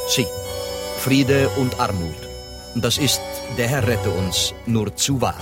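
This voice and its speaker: An actor in a German radio drama playing an amused, proud Spanish poet who speaks expressively and mixes in Spanish. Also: male voice, mid-range voice, slow pace, loud music behind the voice. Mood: wry, reverent. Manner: expressive, chuckling, peppers speech with Spanish.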